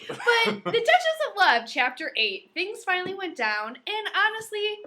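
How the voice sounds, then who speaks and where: A woman podcast host chatting casually about a book, animated and given to dramatic comic retelling. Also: extremely high pitch, normal speed. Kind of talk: casual book review chat.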